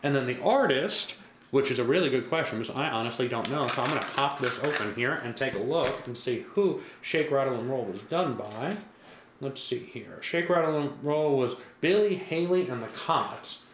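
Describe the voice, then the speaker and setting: A man giving a casual, reassuring step-by-step software tutorial as a product demonstrator. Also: mid-range voice, expressive, normal speed. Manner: casual, repetitive, reassuring instructor. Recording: echoey room with faint background noise.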